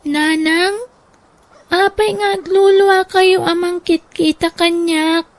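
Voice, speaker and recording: Innocent, affectionate small child in radio drama, tiny very high voice, unhurried, Ilocano accent. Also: female voice, fairly even intonation, heavily acted. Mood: innocent, questioning. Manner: childlike, gentle.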